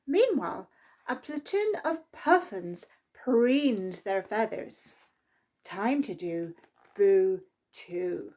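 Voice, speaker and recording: A woman reading a picture book aloud to young children in an animated, expressive storytime manner. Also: medium pitch, very slow. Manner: expressive, with exclaimed sound effects and asides to listeners. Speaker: library storytime reader.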